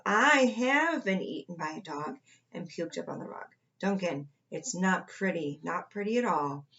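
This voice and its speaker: A woman's voice: medium pitch, dull audio, natural voice. A woman voicing a traumatized, bedraggled storybook crayon in a dramatic, woeful manner.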